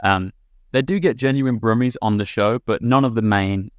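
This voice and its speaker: A man's voice: fairly low pitch, clean tone, no room sound. A young man from Birmingham, England, a guest on a podcast, chatting casually with frequent restarts. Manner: quick, many restarts and fillers. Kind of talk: casual chat.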